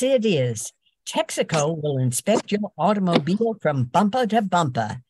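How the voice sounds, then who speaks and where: A woman's voice: sits low, darkish tone, no echo, clean studio sound, heavily acted. A woman playing a genteel, fashion-minded Southern housewife in an amateur old-time radio commercial.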